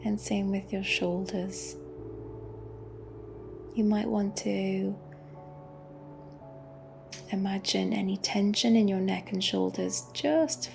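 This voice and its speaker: Very slow, soft-voiced woman guiding meditation. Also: medium pitch, creaky. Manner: gentle, unhurried, with long pauses. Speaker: meditation guide. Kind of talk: guided meditation, spoken softly and soothingly. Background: music.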